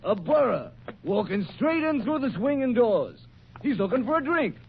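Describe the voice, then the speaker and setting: Excitable, high-voiced saloon pal. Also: male voice, normal speed, vocal fry, full character voice. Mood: amazed, alarmed. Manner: excitable, folksy. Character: excitable, easygoing male saloon drinking companion. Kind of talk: 1940s radio western acting. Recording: muffled, noisy old radio recording.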